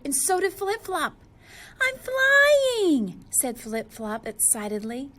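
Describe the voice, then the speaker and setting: Female storybook reader for young kids, higher voice, unhurried, expressive delivery. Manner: expressive, addressing children directly. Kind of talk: children's story read-aloud.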